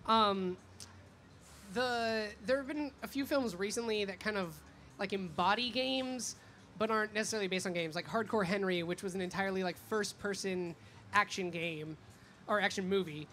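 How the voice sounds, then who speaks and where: A woman's voice: medium pitch, moderate pace, fairly bright tone. A woman interviewing a guest in a casual, conversational way, feeling out her phrasing with lots of filler words. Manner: hesitant phrasing, frequent "like". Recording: noisy convention event background.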